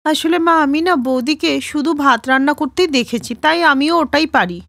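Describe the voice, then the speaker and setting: A woman voicing a breezy, defensive young daughter-in-law, pert and emphatic, in an animated moral story. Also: high-pitched, moderate pace, creaky, dry recording. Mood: innocent.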